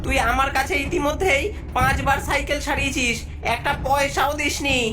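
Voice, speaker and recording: Here is a greedy male ghost boss from a cartoon, played by a woman, pitched high over background music. He bullies and schemes like a moneylender, scolding his underlings and gloating over them. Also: level tone, fairly quick, lightly acted. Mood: scolding, bossy. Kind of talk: cartoon voice acting.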